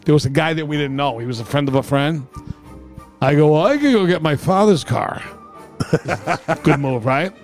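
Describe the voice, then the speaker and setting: A middle-aged man, telling stories casually on a podcast in a blunt, profane, animated way. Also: fairly high pitch, normal speed, long pauses, faint music behind. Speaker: podcast co-host. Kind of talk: casual comic storytelling among friends.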